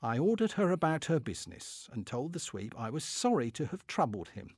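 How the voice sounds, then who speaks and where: A man's voice: medium pitch, big pitch swings, a little fast, a little mellow, slightly rough, no echo, studio quality. A man playing a pompous, fussy, middle-aged Victorian clerk who is easily affronted, in a comic dramatic diary reading. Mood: fussy, put out. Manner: pompous, indignant, self-satisfied.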